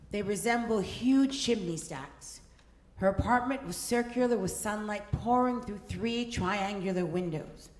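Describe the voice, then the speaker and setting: A woman narrating a scripted story in a measured, deliberate manner. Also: medium pitch. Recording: roomy live performance with music. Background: percussion music.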